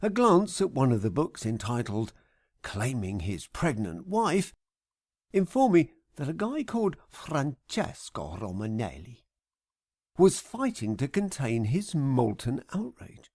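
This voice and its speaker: A middle-aged man reading scripted first-person comic narration in a wry, deadpan, mock-grand manner. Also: medium pitch, a little slow, dry recording, studio quality. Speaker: author reading own audiobook.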